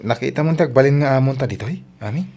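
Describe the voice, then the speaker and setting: A man with a Filipino accent playing an inquisitive, excitable driver and bodyguard in an audio drama, full of questions. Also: medium pitch, fast, gravelly.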